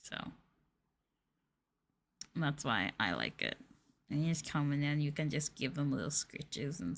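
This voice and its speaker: A woman streamer chatting casually in a soft, affectionate, gushing ASMR style, close to the microphone and sometimes near a whisper. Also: deep voice, creaky. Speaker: ASMR streamer, VTuber host. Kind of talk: ASMR soft talking, casual chat with viewers.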